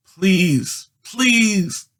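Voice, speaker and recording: A man voicing an excitable young girl, frightened and pleading, in a children's storybook. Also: high voice, drawn-out words, voice pushed up, full character voice. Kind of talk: children's storybook read-aloud.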